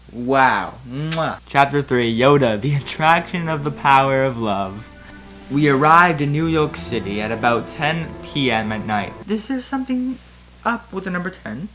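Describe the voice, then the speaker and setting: A man's voice: mid-range voice, a bit bright. A man hosting a comedic fan fiction reading, mixing theatrical, mock-serious narration with casual commentary.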